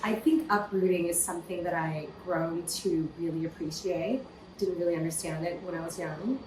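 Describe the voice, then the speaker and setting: A woman's voice: on the low side, normal speed, echoey room, low-quality recording. Woman guest, casual storyteller, lots of fillers, slight background hiss. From California, USA. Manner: lively storytelling with frequent "you know" fillers. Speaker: podcast guest, entrepreneur. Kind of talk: casual interview conversation.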